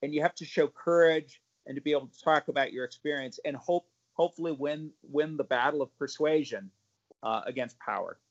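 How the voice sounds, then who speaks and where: A man speaking as a parent activist on a panel, halting, with many repeated words and restarts. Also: fairly high pitch. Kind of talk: panel discussion remarks. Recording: muffled lo-fi audio.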